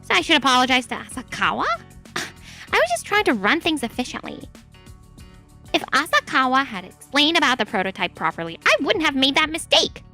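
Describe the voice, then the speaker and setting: A woman playing an arrogant, scheming young saleswoman in an animated manga dub, haughty and self-justifying, with music behind her. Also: high voice, brisk, heavily acted. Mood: indignant. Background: background music.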